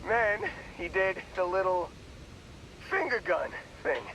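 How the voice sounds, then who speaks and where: An actor playing a groggy young space miner in a video game, talking in a wry, rambling, hesitant way. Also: male voice, sits high, lively intonation, slow, fairly bright tone, highs cut off, slight background noise, heavily acted. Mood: rambling, amused. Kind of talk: video game character dialogue.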